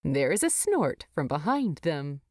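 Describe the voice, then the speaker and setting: A woman narrates a scripted story. Her voice sits a little low and moves with animated intonation. The recording is dry and close. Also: a little slow, no echo. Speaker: fan fiction narrator.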